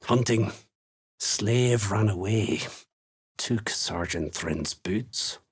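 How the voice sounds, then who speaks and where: An actor playing a surly, menacing dwarf slave hunter in a video game, sneering and blunt with a gravelly, husky voice. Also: male voice, fairly low pitch, drawn-out words, exaggerated voice. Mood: surly, sardonic. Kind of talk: video game character voice lines.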